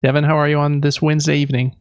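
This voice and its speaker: A man's voice: on the high side, little pitch movement, very fast, smooth, dry recording, highs cut off. A man co-hosting a podcast in easygoing, casual chat, close on the microphone. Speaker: podcast co-host.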